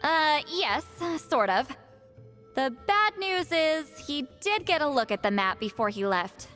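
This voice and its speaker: A sassy, proud young female seer from a video game. Her high voice swoops expressively, brash and teasing. It carries a long reverb with music behind it. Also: big pitch swings, measured pace, a bit bright, full character voice. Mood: confident, explaining. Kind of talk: animated video game cutscene acting.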